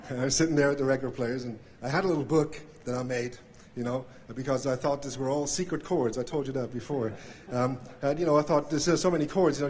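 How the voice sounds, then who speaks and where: A middle-aged man from Switzerland with a Swiss German accent, giving an acceptance speech at an awards ceremony in a hall, halting and full of fillers and restarts. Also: medium pitch, darkish tone. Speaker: award inductee. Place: hall with audience. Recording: faint noise from live recording.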